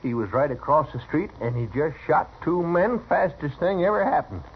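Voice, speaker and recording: An actor playing a garrulous, boastful, starstruck cowboy in a 1950s radio Western, with a rural American accent and a stammering, fawning, excitable manner. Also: male voice, fairly high pitch, normal speed. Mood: excited. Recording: dull, noisy vintage radio recording.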